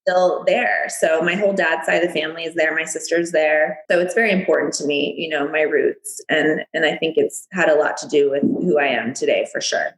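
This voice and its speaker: A bubbly, chatty woman in her thirties answers interview questions and laughs easily. Her voice sits a little low for a woman. The room around her sounds echoey. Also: steady tone, fairly quick, few pauses. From United States. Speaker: guest. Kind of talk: casual interview.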